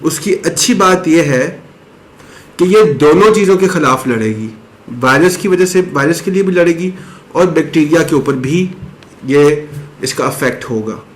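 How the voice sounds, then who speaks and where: A man's voice: on the high side, lively intonation, unhurried. Chatty man explaining remedies. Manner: chatty, explains step by step with fillers and restarts, clears throat now and then. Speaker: health advice presenter. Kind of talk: casual explanatory talk to camera.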